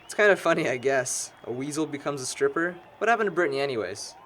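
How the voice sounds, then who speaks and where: A man's voice: on the high side, lively intonation, fast, a bit bright, slight background noise. A man playing a sarcastic, needling young man among longtime friends in an amateur audio drama.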